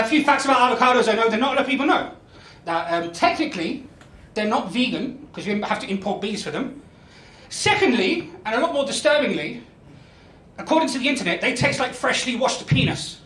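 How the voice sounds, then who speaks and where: A young man in his twenties with a West Country accent doing a self-deprecating stand-up comedy set with deadpan punchlines. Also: very high-pitched, background noise. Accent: West Country English. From Ireland, raised in Spain. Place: comedy club stage. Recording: echoey club with crowd laughter. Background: audience laughing.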